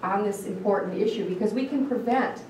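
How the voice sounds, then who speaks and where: A woman's voice: mid-range voice, a little fast, mellow, a little hiss or hum. Michigan woman lecturing in an echoey room. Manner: earnest, questions the audience, restarts words. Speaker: dietitian giving a talk. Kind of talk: live educational talk to an audience. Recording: echoey room picked up from a distance.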